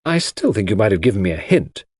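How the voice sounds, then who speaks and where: A puzzled English gentleman in a mystery audiobook, played by a male narrator, grumbling and impatient as he questions his friend. The voice is slightly husky and jumps about in pitch, recorded dry. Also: very animated, fast, no echo.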